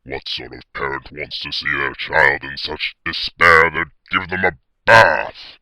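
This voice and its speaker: A man voicing a pompous, melodramatic evil-genius baby pony with a booming villain's voice in a story reading. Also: measured pace, pushed and bright, no echo, studio quality, full character voice. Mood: indignant, vengeful. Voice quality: very deep, booming voice.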